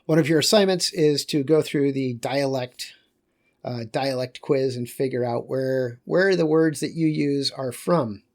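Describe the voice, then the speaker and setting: A man lecturing on geography in a loose, conversational way, pausing and correcting himself as he goes. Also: medium pitch, darkish tone.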